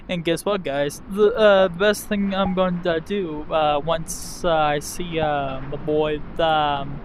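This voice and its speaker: A man's voice: sits high, a little hiss or hum. A man hosting a gaming video, talking casually to his viewers with lots of fillers. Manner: slow, filler-heavy, addresses viewers as "guys". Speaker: video host.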